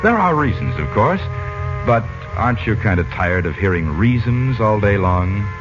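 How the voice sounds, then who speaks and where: Low, swooping 1940s radio announcer man. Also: big pitch swings, moderate pace. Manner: folksy, conversational salesmanship with dramatic emphasis. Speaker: radio announcer reading sponsor message. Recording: old 1940s radio broadcast, muffled. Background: music.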